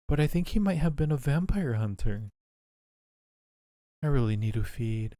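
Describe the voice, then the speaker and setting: A man's voice: mid-range voice, dark tone, no room sound, clean studio sound. A man voicing a timid, self-doubting female vampire in a visual novel, confiding hesitantly and anxiously. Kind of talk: visual novel inner monologue.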